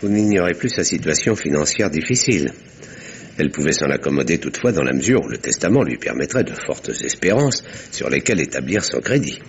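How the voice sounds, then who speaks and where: An actor playing a composed, wry village doctor who narrates a 1950s-style French radio mystery in measured, literary, husky tones. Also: male voice, extremely low pitch, sing-song, a little hiss or hum, exaggerated voice. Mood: confiding. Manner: measured, dryly ironic. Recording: dull vintage radio sound.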